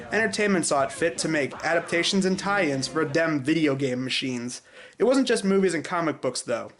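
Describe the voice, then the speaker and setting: A man hosting a show with opinionated scripted commentary, informal and sarcastic in delivery. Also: on the high side. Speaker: video show host. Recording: echoey room.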